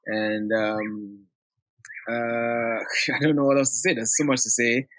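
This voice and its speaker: A man from Ghana, a guest in an interview, talking in a thoughtful, relaxed way with many pauses. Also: medium pitch, no echo. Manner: thoughtful, hesitant with fillers, relaxed. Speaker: guest, food movement director. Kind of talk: casual interview.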